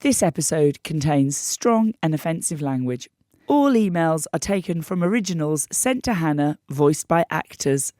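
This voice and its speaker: A woman hosting an investigative podcast, narrating in a measured, deliberate way with a hoarse, croaky voice. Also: sits low, lively intonation, dark tone, no room sound, studio quality. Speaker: host and investigative journalist. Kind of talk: scripted documentary narration.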